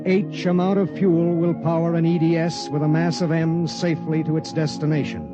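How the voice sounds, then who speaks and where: An actor playing a grim, weary spaceship pilot in a 1950s radio drama, speaking and narrating in a terse, hard-boiled, measured way. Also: male voice, on the high side, flat delivery, natural voice. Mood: grave narration. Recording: muffled 1950s radio recording, music behind.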